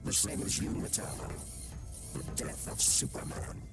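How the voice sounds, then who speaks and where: A man's voice: normal speed, lightly acted. An actor playing a cold, scheming male villain in a video game, speaking in a curt, imperious way. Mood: cold, tempting. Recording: music behind the voice.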